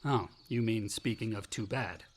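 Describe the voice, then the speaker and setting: An actor playing a volatile, wounded college-age son in a radio play, with a sardonic, defensive American delivery. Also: male voice, fairly low pitch, moderate pace, a little hiss or hum, natural voice. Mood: sullen, defensive. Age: young adult. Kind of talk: naturalistic radio play acting.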